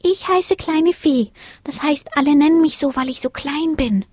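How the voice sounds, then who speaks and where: A woman's voice: high voice, moderate pace, darkish tone, dry recording, clean studio sound, voice pushed up, natural voice. A woman voicing the tiny youngest star in a children's story, a little girl both feisty and shy.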